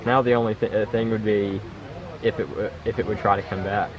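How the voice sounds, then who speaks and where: A man's voice: lowish voice, fairly even intonation, lo-fi sound, crowd noise. A man from North Carolina answering interview questions earnestly, often restarting his words. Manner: halting, earnest, with many restarts. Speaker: camper interviewee.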